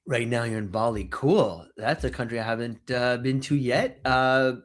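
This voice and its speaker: A man's voice: medium pitch, normal speed. A man hosting his own interview show, upbeat and chatty, with frequent fillers. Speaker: podcast host. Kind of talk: casual interview.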